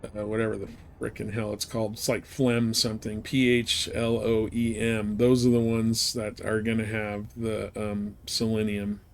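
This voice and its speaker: A man's voice: lowish voice, completely flat delivery, moderate pace, gravelly, hardly any pauses, slight background noise. A man live-streaming a game, giving casual commentary and advice in a rambling, informal way.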